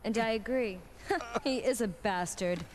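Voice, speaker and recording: A woman's voice: a little high, a little slow, a little mellow, lightly acted. Blunt sardonic actress as an adventuring woman. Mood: dry, mocking. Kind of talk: video game cutscene acting. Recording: noisy game audio with ambience.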